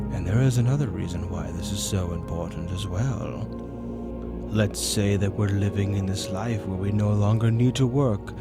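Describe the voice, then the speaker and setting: A man voicing a grandiose avian demon tempter in a dark fantasy roleplay monologue, lecturing persuasively with theatrical, drawn-out emphasis over gothic music. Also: on the low side. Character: male avian demon arch-tempter, grandiose philosophical seducer. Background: loud dark gothic music.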